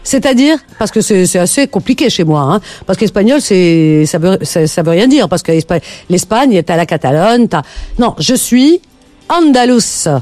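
Lively, teasing female radio host. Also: mid-range voice, no echo, faint music behind. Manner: brisk, teasing, outspoken. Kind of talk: live radio phone-in chat. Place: radio studio.

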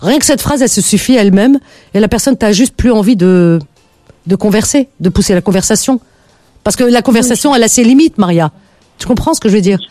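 A woman's voice: mid-range voice, lively intonation, quick pace, mellow, no room sound, clean studio sound, faint music behind. A woman hosting a late-night call-in radio show, chatting with callers in an emphatic way and often repeating words as she gets going.

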